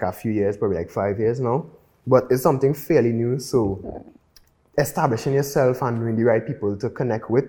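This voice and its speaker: A man's voice: mid-range voice, warm tone. A man with a Trinidadian accent, talking casually and conversationally as a guest music producer in an interview.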